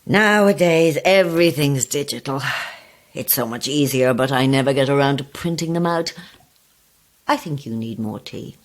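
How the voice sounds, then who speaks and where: An actress playing a sly, sweetly menacing ancient old woman in a horror audio drama, husky and gravelly, with slow, relishing delivery. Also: a bass voice. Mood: sweetly sinister. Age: older. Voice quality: husky, gravelly, sometimes hissing whisper. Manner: slow, relishing, theatrical, condescending endearments.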